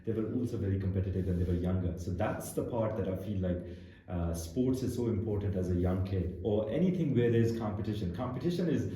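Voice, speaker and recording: Young man from Pakistan, founder guest, low dark voice, earnest and searching, strong room echo. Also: brisk. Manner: earnest, thinks aloud with restarts and laughs. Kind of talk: casual interview conversation. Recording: very echoey room.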